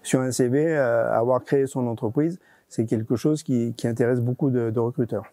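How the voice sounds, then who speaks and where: A man's voice: medium pitch, steady tone, moderate pace, dark tone, no echo. A man giving explanatory interview answers, hesitating and often repeating his words as he goes. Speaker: interviewee, regional deputy director of a nonprofit.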